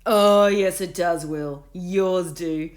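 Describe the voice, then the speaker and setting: A woman's voice: fairly low pitch, pitched above the performer's own voice. Woman playing a gloating schoolboy bully. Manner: slow, savouring, taunting. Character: gloating bully schoolboy who relishes violence.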